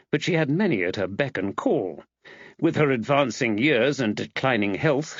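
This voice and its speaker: A man's voice: medium pitch, husky, no room sound, lo-fi sound. A man reading a mystery novel aloud as scripted audiobook narration.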